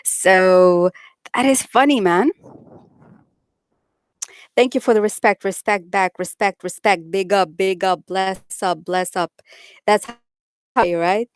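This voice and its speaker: A woman's voice: mid-range voice, takes it slowly, long pauses, clear, resonant tone, no room sound. A young woman with a Dutch accent, chatting bluntly with viewers on a live stream and reading out their comments. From Netherlands. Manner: blunt, outspoken, says it like it is.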